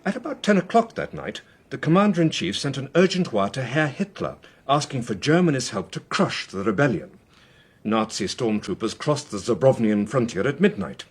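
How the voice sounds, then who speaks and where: An actor playing a British government official in a radio drama, methodical, courteous and authoritative. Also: male voice, medium pitch, very animated, normal speed, breathy edge. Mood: methodical explaining. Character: measured, probing male British government official.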